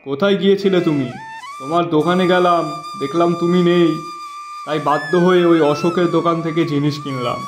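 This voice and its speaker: A man's voice: fairly high pitch, steady tone, fairly quick, lightly acted. A man voicing a plain-spoken village customer in a cartoon. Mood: friendly, inquiring. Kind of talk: cartoon voice acting. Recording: roomy echo with music behind.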